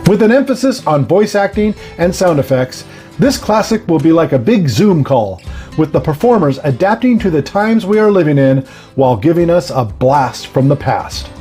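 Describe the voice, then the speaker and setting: A man's voice: on the high side, very animated, normal speed, mellow, soft background music. A man with an American accent narrating a scripted news feature as a reporter.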